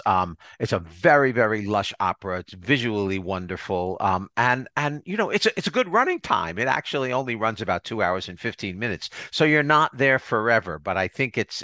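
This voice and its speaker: Low-voiced older man hosting a podcast. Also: sharp tone, dry recording, clean studio sound. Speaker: podcast host. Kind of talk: arts podcast interview and scripted announcements.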